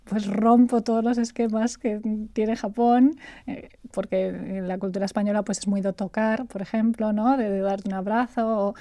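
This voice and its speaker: A woman from Spain, a guest talking about her consulting work in a relaxed interview, chatty and laughing often, repeating words as she searches for them. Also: mid-range voice, normal speed, no room sound. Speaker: guest, artificial intelligence consultant.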